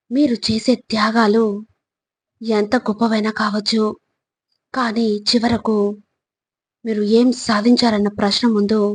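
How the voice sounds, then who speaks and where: A woman reading scripted motivational quotes in short, deliberate phrases, with an instructive, exhorting manner. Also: on the high side, breathy edge. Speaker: motivational narrator.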